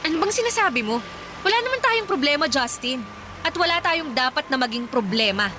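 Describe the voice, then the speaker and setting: A woman's voice: high voice, big pitch swings, fairly quick, background noise. An actress playing a torn, evasive young engaged office woman in a Filipino radio drama. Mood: defensive, evasive. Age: young adult.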